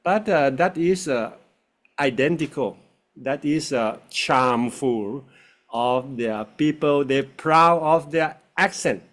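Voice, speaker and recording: A man with a Thai accent, hosting a casual English conversation and speaking haltingly with many fillers. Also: a little high, fairly bright tone. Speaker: host.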